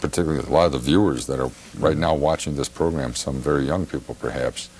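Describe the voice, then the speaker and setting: A middle-aged American man hosting a TV interview in a halting, rambling, casual way, often repeating himself. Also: a bass voice, a little mellow. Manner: halting, rambling, repeats phrases and leans on "you know". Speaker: TV show host. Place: television studio. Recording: older TV broadcast with faint background noise.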